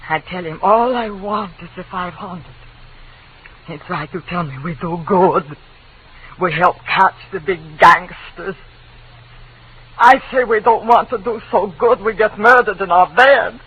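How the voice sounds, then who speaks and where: A woman acting a grieving, frightened but stubborn immigrant wife in halting broken English, in 1940s radio drama style. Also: mid-range voice, breathy edge. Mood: mournful, bitter. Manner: halting, lamenting, foreign-sounding broken English. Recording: tinny, noisy old radio recording.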